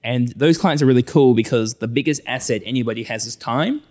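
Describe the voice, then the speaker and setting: A man's voice: mid-range voice, fairly quick, a little mellow. A man with a New Zealand accent talking as a financial adviser in a conversational interview, often voicing imagined clients to illustrate his explanations.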